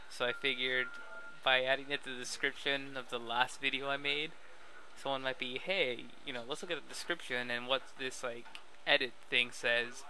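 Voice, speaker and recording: A man's voice: mid-range voice, rough recording. A young man talking casually in a rambling, slangy, self-deprecating vlog voice-over. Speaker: video creator addressing subscribers. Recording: steady background noise, amateur recording.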